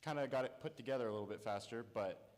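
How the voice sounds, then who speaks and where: A man's voice: medium pitch, very fast. A young man, a student filmmaker, speaking casually at a festival Q&A on a theater stage. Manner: casual, with fillers. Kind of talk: answering questions at a festival Q&A. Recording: reverberant hall with audience.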